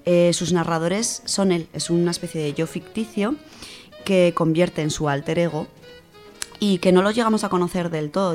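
A woman's voice: low-pitched, a little mellow. A woman with an accent from Spain presents an informal book segment in a chatty, colloquial, enthusiastic way. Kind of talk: informal spoken book review.

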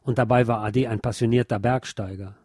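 A man's voice: medium pitch, steady tone, fairly quick, heavy background noise. A man reading a scripted historical text aloud as a narrator.

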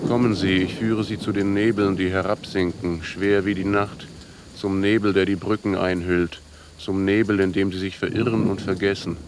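An actor playing a haunted, defiant outcast with a brooding, poetic delivery in a literary radio drama. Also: male voice, low voice, flat delivery, moderate pace, background noise, close to an everyday voice. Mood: entranced, beckoning.

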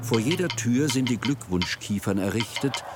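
A man narrating a radio drama in a measured, literary storytelling manner. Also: mid-range voice, animated, over loud music.

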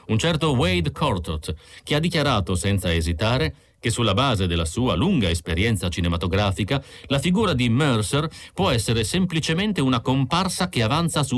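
A man's voice: mid-range voice, dry recording, pitched above the performer's own voice. A man voicing an earnest chief investigator explaining findings on television, emphatic and declarative, in an audiobook.

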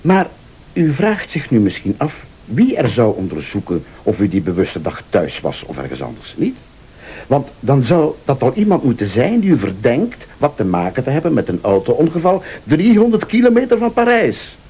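An actor playing a vengeful rival in a radio thriller, a calm, sardonic accuser who speaks Flemish with deliberate, probing politeness. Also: male voice, medium pitch, husky, heavily acted. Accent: Flemish Belgian. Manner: deliberate, insinuating, formally polite.

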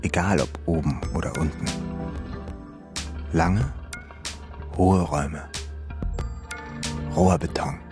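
A man narrating an eerie German radio drama in a deliberate, sparse and brooding manner. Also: low voice, music in the background. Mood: sombre, eerie. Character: sombre male narrator of an eerie crime drama.

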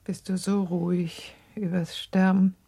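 A middle-aged mother in a radio drama, anxious and searching. Her voice is fairly low, dark and husky, and she puts things in measured questions. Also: little pitch movement, no echo. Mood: gentle concern. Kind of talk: German radio drama acting.

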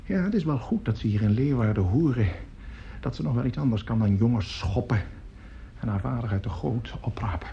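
An actor playing an excitable, coarse opera conductor in a radio play, effusive and grumbling, stammering and swearing as he talks. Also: medium pitch, fairly quick, vocal fry, slight background noise. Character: excitable, coarse, opinionated male opera conductor at his piano. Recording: dull old radio recording, piano music.

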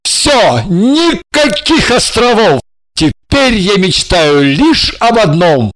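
Woman reader plays a lovestruck, exclamatory male painter, unhurried delivery, dry close read. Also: medium pitch, big pitch swings, dry recording, voice pushed up. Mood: overjoyed. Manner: effusive, exclamatory. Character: ardent, excitable male painter in love. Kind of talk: audiobook character reading.

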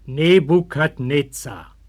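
A man playing a stern, compassionate prophet in a radio play, speaking in a solemn, measured, declamatory way. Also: on the high side, lively intonation, a little mellow. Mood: stern, resolute. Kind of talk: German radio play acting. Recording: early 1960s vinyl radio-play record.

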